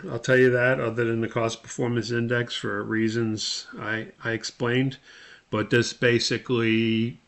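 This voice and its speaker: A man giving a methodical, step-by-step spoken tutorial as an instructor, with a creaky voice. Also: on the low side, a bit bright. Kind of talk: spoken screen-share tutorial.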